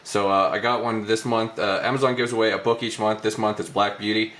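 A man's voice: fairly low pitch, flat delivery, fairly quick, fairly bright tone. A man hosting a casual hands-on tech demo, talking indoors in a room. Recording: roomy, echoey indoor recording.

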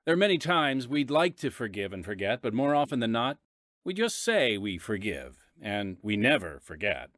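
A man's voice: mid-range voice, very animated, normal speed, fairly bright tone, no echo, studio quality. A man hosting and narrating an audio drama podcast in a scripted storytelling style. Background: music under the voice.

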